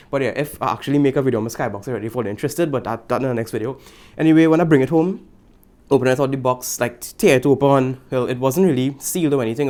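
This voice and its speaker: A man's voice: medium pitch, a little mellow. Laid-back Trinidadian tech vlogger man. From Trinidad and Tobago. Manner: laid-back, chatty, repeats slang, jokes aside. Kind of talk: casual tech review.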